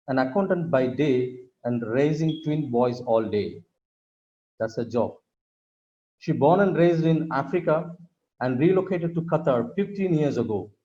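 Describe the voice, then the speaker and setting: A man hosting an online event, giving polite, measured, formal announcements and introductions over a video call. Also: medium pitch, dark tone. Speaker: host introducing next session. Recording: dull, band-limited video call audio.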